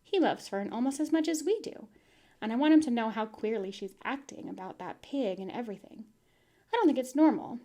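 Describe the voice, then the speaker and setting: A fretful, proper farm mother from a storybook, read by a woman. Her questions come out anxious and pitched fairly high. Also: expressive, a little fast, warm tone. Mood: worried.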